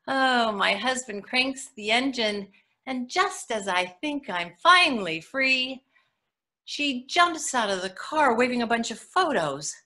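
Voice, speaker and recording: A woman playing a frazzled, sardonic mother in an expressive dramatic monologue reading over a video call. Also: high voice, exaggerated voice. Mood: sardonic impatience. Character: frazzled, sardonic new mother, sometimes mimicking her own mother.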